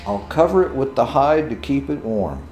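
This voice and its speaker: A man's voice: mid-range voice, lively intonation, normal speed, darkish tone, roomy sound, faint music behind, close to an everyday voice. A man voicing a foolish, literal-minded young country fellow in a folktale, earnest and matter-of-fact. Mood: pleased, simple. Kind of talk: folktale storytelling.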